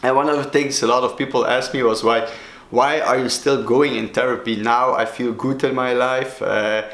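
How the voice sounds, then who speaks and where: A man from Belgium, a native Dutch speaker with a Belgian Dutch accent, talking to camera as a sobriety coach, halting with many fillers and restarts. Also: mid-range voice, fairly even intonation, fairly bright tone, reverberant. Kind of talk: solo talking-head vlog.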